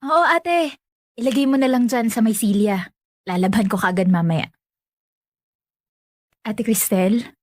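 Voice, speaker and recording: A woman's voice: a little high, unhurried, pauses often, no echo, lightly acted. Woman acting a meek, dutiful young woman, dry sound. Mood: meek, eager. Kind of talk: natural radio drama dialogue.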